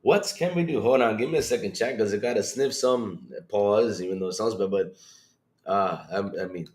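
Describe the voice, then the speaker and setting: A man hosting a casual livestream with a congested, slightly hoarse voice, swinging between loud bursts and low asides. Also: normal speed. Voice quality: hoarse, grumpy-sounding congested voice. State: congested, sick with allergies or a cold. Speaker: livestream podcast host. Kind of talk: casual livestream chat to viewers.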